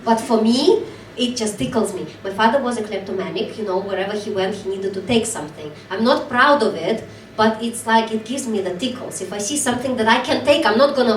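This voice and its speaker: A woman from Belarus with a Belarusian accent, a podcast host in casual conversation, candid and self-mocking. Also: medium pitch. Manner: candid, self-mocking, talks in quick bursts. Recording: roomy, distant mic, faint background noise.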